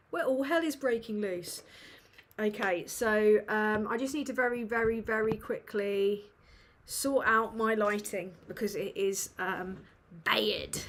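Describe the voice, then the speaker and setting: A British woman with a slightly high voice chats unhurriedly on a livestream from her room at home. Her talk is loose and rambling, full of swearing and "um"s. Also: a bit bright. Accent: British English. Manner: rambling and sweary, with many fillers. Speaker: livestream host. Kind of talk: casual livestream Q&A chat. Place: dim room at home, indoors.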